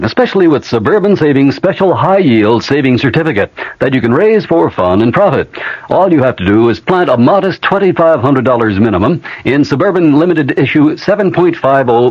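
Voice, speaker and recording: A man's voice: mid-range voice, breathy edge, no echo. An American man reading scripted radio advertising and announcements. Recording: dull vintage radio recording.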